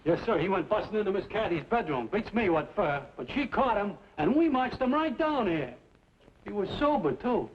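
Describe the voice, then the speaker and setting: A folksy, self-important deputy in a radio western. The voice is very high for a man and jumps around as he blusters. The old recording is muffled with faint noise. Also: very animated, moderate pace. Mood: eager.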